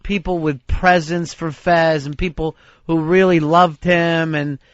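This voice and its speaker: A man's voice: a little high, little pitch movement, unhurried, dry recording. A man hosting talk radio, telling stories in a casual, dryly joking way from a studio. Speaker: radio host. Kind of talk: casual comic radio chat. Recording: slightly muffled dry studio recording.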